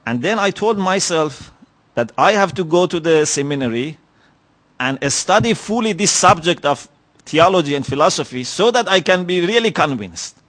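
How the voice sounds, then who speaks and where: Man w/ giving a lecture, slightly high voice, slow and thoughtful, lots of "you know". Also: expressive, a bit bright. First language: Persian. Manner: measured, reflective, with many pauses and "you know" fillers. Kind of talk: religious lecture. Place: lecture hall.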